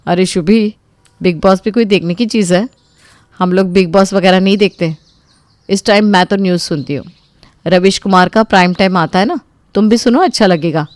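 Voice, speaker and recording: Woman playing a self-assured sister-in-law, dismissive, mid pitch, storybook drama, dry recording. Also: normal speed, no echo, natural voice.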